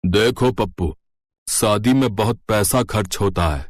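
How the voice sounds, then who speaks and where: A man's voice: on the low side, measured pace, no room sound. A man voicing a skeptical, thrifty beggar father in a cartoon story.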